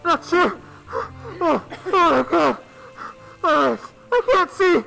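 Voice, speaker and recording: Man as high, excitable radio newspaperman. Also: slow, creaky, faint music behind, heavily acted, rough recording. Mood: panicked. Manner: gee-whiz, exclamatory. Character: excitable, naive, chipper newspaper reporter and husband. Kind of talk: old-time radio drama acting.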